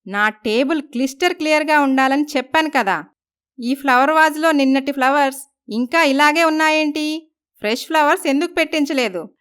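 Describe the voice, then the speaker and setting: A woman's voice: sits high, normal speed, a bit bright, voice pushed up. A woman voicing an arrogant, commanding young businesswoman who scolds people in a moral story. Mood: scolding. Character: arrogant, bossy young businesswoman who bullies her husband. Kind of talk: dramatised moral story voice acting.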